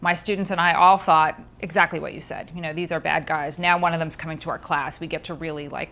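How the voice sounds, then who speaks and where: A middle-aged woman, a researcher and author, answering interview questions in a thoughtful, measured way with frequent ums. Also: low-pitched, steady tone, creaky, fairly bright tone.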